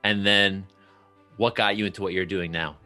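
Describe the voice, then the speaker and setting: A man's voice: sits low, steady tone, moderate pace, bright, forceful tone, faint music behind. A man hosting an interview podcast, introducing the show and putting questions to his guest. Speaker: podcast host.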